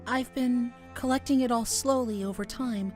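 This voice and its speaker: A woman voicing a shy, gentle young male forest dweller in a visual novel, speaking in a halting, earnest way. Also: on the high side, faint music behind, lightly acted. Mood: shy, hesitant. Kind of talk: visual novel character acting.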